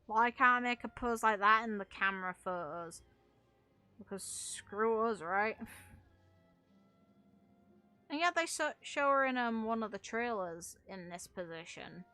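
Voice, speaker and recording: Casting: female gaming commentator, sharp bright tone, blunt opinionated chat with swearing, dry close recording with faint music behind. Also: a little high, expressive, unhurried, long pauses, no room sound. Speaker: game streamer and video creator.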